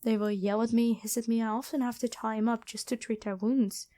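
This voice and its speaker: A woman playing a kind angel medic and former nun in an ASMR roleplay, speaking gently and hesitantly to the listener. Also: on the high side, fairly even intonation, fairly quick, natural voice. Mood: patient, earnest. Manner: gentle, soft-spoken, apologetic, hesitant.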